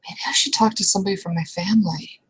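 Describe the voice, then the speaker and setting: A woman's voice: medium pitch, fast, slightly rough. A young woman improvising in-character roleplay conversation, speaking casually and hesitantly with frequent restarts. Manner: casual, hesitant, trailing restarts with mild swearing. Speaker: roleplay player.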